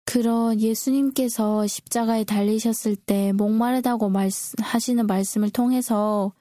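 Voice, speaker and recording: A woman's voice: on the high side, fairly even intonation, moderate pace, dark tone, no echo, studio quality. A woman speaking politely and reflectively as a co-host in a prepared-sounding Bible discussion. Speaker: co-host discussing scripture with a pastor.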